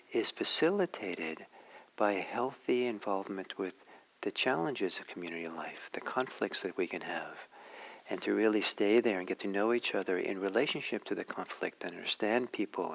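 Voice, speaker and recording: A man who is a native Norwegian speaker gives a reflective talk as a meditation teacher, pausing often and searching for his words. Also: lowish voice, expressive, vocal fry, fairly bright tone, rough recording. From Norway.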